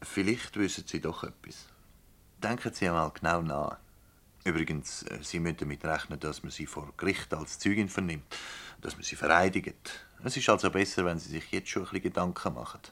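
An actor playing a probing, impatient public prosecutor in a Swiss German dialect radio play, questioning in a formal, insistent way. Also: male voice, low-pitched, a little fast, a bit bright. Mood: formal, stern.

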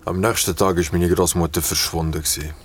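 Deep-voiced, haunted Swiss German young man. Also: level tone, normal speed, breathy edge, faint music behind. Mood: grave. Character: haunted, guilt-ridden young man recounting childhood horror. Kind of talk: dramatized storytelling in radio play.

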